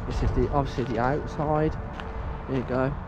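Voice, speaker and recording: A man's voice: mid-range voice, steady tone, normal speed, muffled recording. A man, vlogging as he explores an abandoned site outdoors, thinking aloud and often calling out to his viewers. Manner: chatty, thinking aloud, often says "guys". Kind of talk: casual walking commentary. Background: background music.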